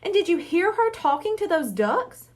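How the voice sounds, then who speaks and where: A woman's voice: very high voice, sing-song, normal speed, pitched above the performer's own voice. A woman reading a storybook as a worried, exasperated young boy who speaks emphatically and in disbelief. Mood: indignant, incredulous. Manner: emphatic, incredulous.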